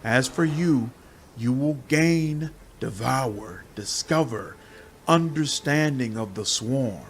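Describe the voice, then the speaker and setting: Man voicing a slow, halting demon insect swarm. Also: mid-range voice, animated, slight background noise. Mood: coaxing, solemn. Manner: halting, intoning lists of synonyms one by one. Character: many-bodied demon insect swarm, female, captive, hungry, suffering. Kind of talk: game character dialogue.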